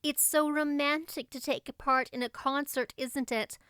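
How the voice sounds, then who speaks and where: A woman's voice: sits high, steady tone, moderate pace, fairly bright tone, no room sound, studio quality, pitched above the performer's own voice. A woman voicing a dreamy, dramatic thirteen-year-old girl in an audiobook, gushing and romantic. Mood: excited, gushing. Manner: breathless, gushing, lofty at times. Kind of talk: audiobook character voice.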